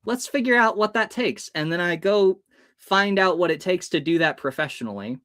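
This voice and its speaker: A man's voice: high-pitched, expressive, moderate pace, fairly bright tone, no echo, studio quality. A man in his thirties from the United States, a hypnotist guest telling stories in an interview and acting out the people in them. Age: 30s. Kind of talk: storytelling in an interview.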